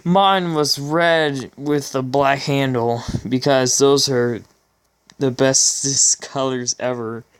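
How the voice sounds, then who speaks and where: A man's voice: medium pitch, fairly even intonation, slow, clear, resonant tone. A man hosting a casual comedic fan fiction reading, informal and amused, stumbling over his words as he goes. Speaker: channel host.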